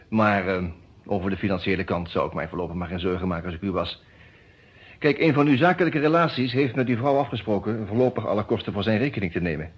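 Calm businesslike lawyer in radio detective drama, husky, muffled old recording. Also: male voice, on the low side. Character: composed, businesslike male defence lawyer.

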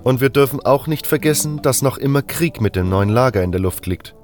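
Man acting a self-assured, raised-pitch seasoned scout. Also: lively intonation, fairly quick, darkish tone, natural voice. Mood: impatient. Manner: assertive, no-nonsense. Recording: music under the voice.

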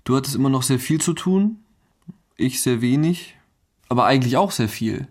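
A man's voice: mid-range voice, lively intonation, normal speed, close to an everyday voice. An actor plays a laconic male partner looking back on hard times. He is dry and understated, speaking conversationally as in a radio play. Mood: reflective. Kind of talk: conversational documentary radio drama.

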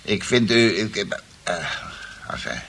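Deep-voiced, haughty, suspicious jurist. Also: male voice, very animated, takes it slowly, creaky, fairly bright tone, breathy edge, heavily acted. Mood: suspicious, scornful. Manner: formal, curt, disdainful. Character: stiff, suspicious male jurist, haughty chess opponent. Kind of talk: radio play acting in Dutch. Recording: noisy old radio play recording.

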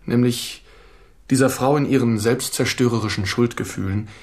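A man playing a smooth, patronising psychotherapist in a crime radio drama, with a measured, formal and insistent manner. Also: mid-range voice, lightly acted. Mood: reproachful. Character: smooth, patronising male psychotherapist, defensive and flirtatious. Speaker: supporting actor.

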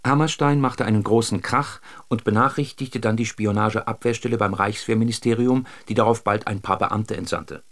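A male communist informant giving a formal statement in a documentary radio drama. His voice is fairly low and gravelly. He is dry, deliberate and matter-of-fact. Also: fairly even intonation, fairly bright tone. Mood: dry, factual.

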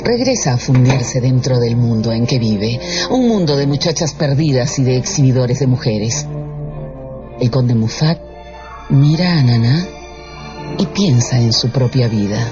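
A man's voice: mid-range voice, animated, unhurried. Male radio drama narrator over music. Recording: dull lo-fi broadcast with music behind.

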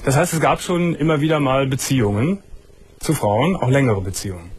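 A man's voice: medium pitch, animated, a little fast, husky. A man hosting a late-night radio call-in show, asking the caller teasing, probing questions. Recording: radio broadcast.

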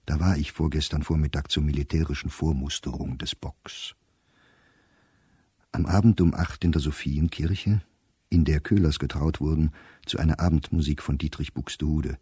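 A middle-aged male diarist with a very low, dark voice. He reads his entries for a radio play in measured, clipped phrases, precise and dryly wry, with a husky edge. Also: steady tone, dry recording, studio quality, exaggerated voice. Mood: matter-of-fact. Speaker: actor reading a diary. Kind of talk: literary diary narration in a radio play.

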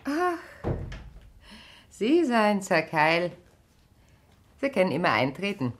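An actress playing a grave, perceptive, caring wife in a radio drama, speaking earnestly and probingly. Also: female voice, mid-range voice, wildly swooping pitch, takes it slowly, slight background noise. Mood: courteous. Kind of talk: naturalistic German radio drama acting.

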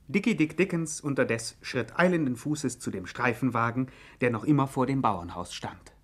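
An actor narrating a 1950s German radio crime satire in a dry, formal way. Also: male voice, medium pitch, animated, normal speed, lightly acted. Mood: dry, matter-of-fact.

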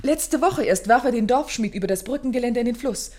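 A woman's voice: on the high side, animated, fast talker, warm tone, lightly acted. An actress playing a distressed, genteel young woman in a radio play, speaking formally and dramatically. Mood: fearful. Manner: formal, dramatic, pleading phrasing.

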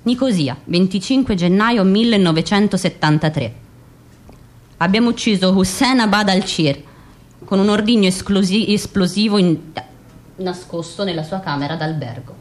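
An actress playing a cold, methodical agent of a killing squad in radio theatre, matter-of-fact and precise. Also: female voice, medium pitch, normal speed, natural voice. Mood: factual. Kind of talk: dramatic radio theatre, instructional recitation.

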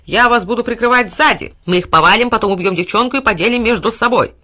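Storybook pig, cowardly villain, played by a woman, bright and shrill, plotting viciously. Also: quick pace. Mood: scheming, vicious. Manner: shrill squealing insults. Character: cowardly, murderous, scheming pig.

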